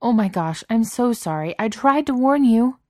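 A woman's voice: lowish voice, normal speed, a little mellow, no echo, clean studio sound, lightly acted. A woman voicing a huge but timid, apologetic young male beast in a story, hesitant and stammering, with teenage slang. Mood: miserable, apologetic. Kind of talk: audiobook character voice.

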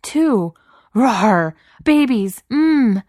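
A woman's voice: lowish voice, normal speed, darkish tone, no echo, studio quality, lightly acted. A woman voicing a huge but timid, apologetic young male beast in a story, hesitant and stammering, with teenage slang. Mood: flustered, desperate. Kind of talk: audiobook character voice.

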